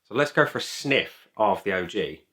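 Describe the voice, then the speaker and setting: A man's voice: on the low side, expressive, normal speed, pushed and bright. A man talking casually to camera as a beer reviewer, chatty, opinionated and emphatic. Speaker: beer reviewer and video host.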